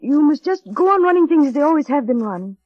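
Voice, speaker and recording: An actress playing a shy, gentle young bride in a 1930s radio drama, polite and wistful. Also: female voice, high voice, sing-song, fast talker, no echo. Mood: shy, uncertain. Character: shy, gentle, nervous young bride narrating her story. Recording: dull, muffled vintage radio broadcast, music.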